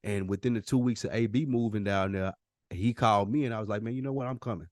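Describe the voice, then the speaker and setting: A man's voice: on the low side, fast, a little mellow, dry recording, clean studio sound. An American man co-hosting a podcast, telling things in a casual, direct and emphatic way. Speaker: podcast co-host. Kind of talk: casual chat and storytelling.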